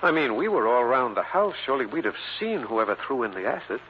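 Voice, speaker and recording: Earnest male suspect, actor, mid-pitched and expressive, vintage radio drama with faint hiss. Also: fast talker, close to an everyday voice. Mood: puzzled, earnest. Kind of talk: 1940s radio drama acting. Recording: muffled, noisy old radio recording.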